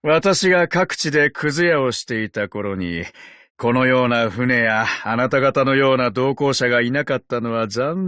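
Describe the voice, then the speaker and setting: An ancient undead detective in a tin shell, speaking as a video game character. He is courteous and wry, with gentlemanly phrasing that swings widely in pitch. The voice sits at a medium male pitch and is recorded very dry and close. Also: no room sound. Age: older. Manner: polite, unhurried, sardonic gentlemanly speech. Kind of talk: Japanese game character voice lines.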